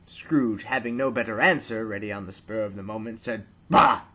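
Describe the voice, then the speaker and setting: A man narrating a classic story aloud as an amateur reader, with expressive, theatrical storytelling. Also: on the low side, fairly quick.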